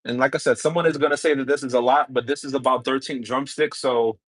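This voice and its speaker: A man's voice: mid-range voice, steady tone, fast, no echo. Easygoing New man cooking live. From New York City, Brooklyn. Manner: easygoing, chatty, answers viewer comments while cooking. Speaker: home cook livestreaming. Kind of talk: casual livestream cooking chat.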